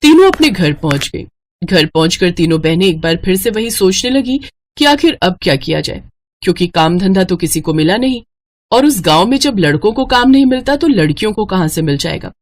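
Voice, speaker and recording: A woman's voice: medium pitch, very animated, moderate pace. A woman narrator reading a scripted story.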